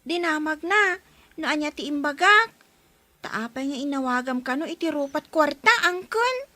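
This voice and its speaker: An earnest young child in a radio drama. The voice is extremely high and small, innocent in its directness. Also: female voice, expressive, moderate pace, bright, forceful tone, dry recording. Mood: earnest, pleading.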